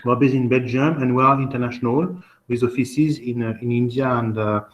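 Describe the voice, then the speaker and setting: A man's voice: medium pitch, fairly even intonation, a little fast, slight background noise. A man with a French accent presents an informal online webinar, often hesitating and repeating his phrases as he goes. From France. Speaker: presenter, admissions test-prep consultant.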